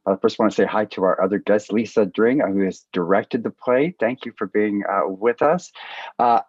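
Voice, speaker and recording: A man hosting a panel discussion over a video call, speaking haltingly and with appreciation for his guests. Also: fairly low pitch, breathy edge, no echo, lo-fi sound. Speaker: host and moderator of a panel.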